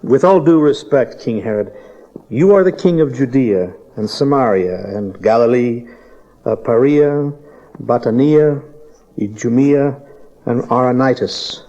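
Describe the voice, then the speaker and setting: A man plays a clever, haughty young sage in a dramatized Bible. His dark, smooth-edged tone sits at a middle pitch and delivers pointed, lofty speeches. The tape sounds slightly muffled. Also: unhurried, natural voice. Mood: firm, courteous. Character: proud young male magus, haughty, clever Eastern sage. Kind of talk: dramatized Bible audio acting. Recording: dull cassette tape audio drama.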